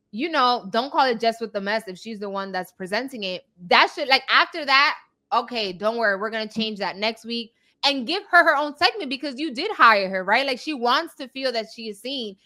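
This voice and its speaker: A woman with a forceful, bright voice pitched a little high, chatting as a podcast co-host. She is direct and opinionated, making her case conversationally and often ending a point with a quick "right?". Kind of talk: casual opinion discussion.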